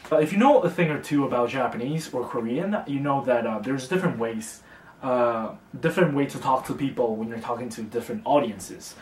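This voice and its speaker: A young man from China, teaching a casual beginner language lesson in a relaxed, chatty way, with fillers and slow, careful repetition of example words. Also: medium pitch, a little hiss or hum. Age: young adult. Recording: roomy, distant mic.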